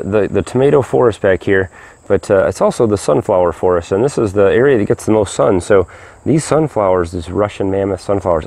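A man's voice: low voice, darkish tone, a little hiss or hum. A middle-aged man casually walking through his backyard vegetable garden, talking in an easygoing, rambling way full of fillers and restarts. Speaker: gardening vlogger. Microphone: handheld camera mic. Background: crickets and insects.

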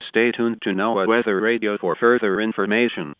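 A synthetic man's voice reading an emergency weather warning in a measured, mechanical way over narrow radio sound. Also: lowish voice, fairly even intonation, smooth, no room sound. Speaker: automated weather radio announcer.

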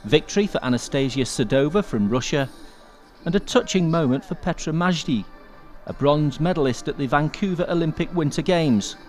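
A man reading scripted sports highlights as a commentator.

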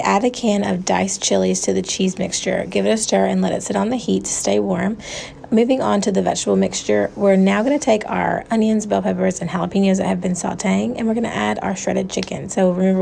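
Very creaky-voiced American woman narrating a recipe. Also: mid-range voice, mellow, slight background noise. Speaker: home cook narrating a recipe video. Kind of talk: casual instructional voice-over.

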